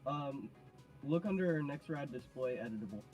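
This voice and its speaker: A man with a mid-pitched, animated voice chats casually as a livestream co-commentator. He speaks in brief interjections, with faint music playing underneath. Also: normal speed, highs cut off.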